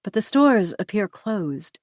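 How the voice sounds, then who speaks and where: A woman narrating a novel in the first person, with a measured, even storytelling delivery. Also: medium pitch, dry recording, studio quality. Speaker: audiobook narrator.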